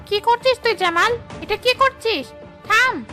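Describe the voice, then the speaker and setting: A woman's voice: very high-pitched, animated, unhurried, pushed and bright, faint music behind, heavily acted. A woman voicing a possessive, taunting female village witch-ghost in a horror cartoon. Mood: alarmed. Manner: taunting, commanding.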